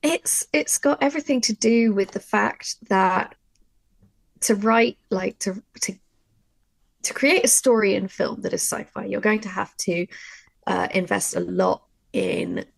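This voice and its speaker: Chatty woman with a creaky, dry-miked voice. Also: medium pitch, a little slow, no room sound. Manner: chatty, informal, encouraging. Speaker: audio drama writer giving a workshop. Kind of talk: conversational workshop discussion.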